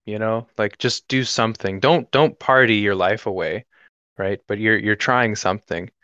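A man's voice: lowish voice, normal speed, pushed and bright, clean tone, no echo. A twenty-one-year-old man in casual podcast conversation, easygoing and joking, on a slightly dull recording. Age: 21, young adult. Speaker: podcast guest or co-host.

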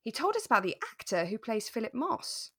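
A woman's voice: fairly high pitch, very animated, brisk, a bit bright, dry recording, clean studio sound. A woman co-hosting a podcast in casual chat about a radio drama, speaking emphatically and repeating words for stress. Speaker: podcast co-host.

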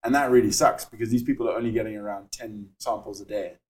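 A young man with a South African accent, a startup founder explaining his work in a podcast interview, measured and full of fillers. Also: lowish voice, fairly even intonation, a little mellow.

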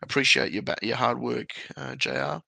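A man hosting a casual podcast, chatty and quick to laugh at his own stories. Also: mid-range voice, creaky, sharp tone, no room sound. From Australia. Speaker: podcast host.

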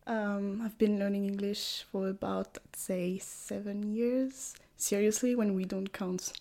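A woman's voice: mid-range voice, steady tone, unhurried, warm tone. A French woman in her twenties, speaking English with a French accent as a podcast guest, hesitant, with many fillers and restarts. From France. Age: 20s. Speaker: guest, English language student. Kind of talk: casual podcast conversation.

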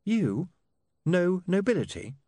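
A man's voice: high voice, sing-song, slow pace, dark tone, no room sound, studio quality, voice pushed up, heavily acted. A man voicing a concerned, polite young woman in an audiobook, with a hesitant, questioning manner. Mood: uncertain.

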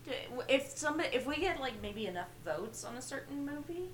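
A young woman with vocal fry, co-hosting a casual, bubbly film podcast. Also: sits high, sing-song, quick pace, echoey room, a little hiss or hum. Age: young adult. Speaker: podcast co-host. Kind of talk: casual film review chat. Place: on a bed in a bedroom.

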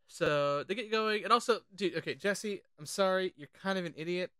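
A podcast co-host talking casually and informally about films, animated and sweary. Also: mid-range voice, moderate pace, clear, resonant tone, no echo. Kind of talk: casual film discussion.

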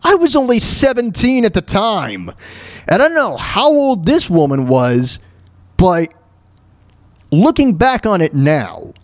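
A man in his thirties hosting a talk show alone, telling stories and ranting casually in a halting, repetitive way with frequent throat clearing. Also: high voice, wildly swooping pitch, no room sound. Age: 30s. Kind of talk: casual monologue, ranting and personal storytelling.

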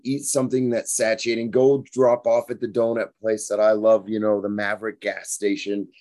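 A hoarse, tired man in his forties, hosting a fitness podcast in a frank, rambling motivational monologue. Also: medium pitch, mellow. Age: 40s. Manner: rambling, frank, repeats himself.

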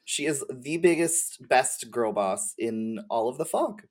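A man's voice: mid-range voice, expressive, unhurried, crowd noise. A man talking as a podcast guest in a casual, slangy, rambling conversational style. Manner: informal, slangy, hedging and rambling. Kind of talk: casual conversational chat about game lore.

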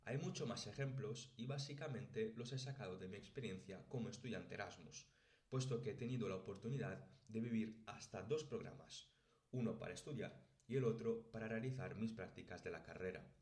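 Low-voiced young man from Spain, echoey room. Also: a little fast, a little mellow. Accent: Spanish from Spain. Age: late 20s. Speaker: podcast host and language teacher. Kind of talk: scripted podcast narration. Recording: roomy, echoey sound.

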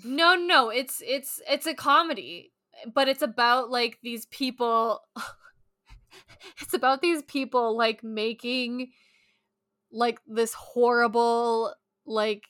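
A woman with a bright, forward voice, pitched a little high, chats casually as a podcast co-host. Her talk is loose, rambling and unfiltered, in a dry, close-sounding recording. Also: slow pace, no room sound. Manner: informal, rambling, sweary. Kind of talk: casual chat.

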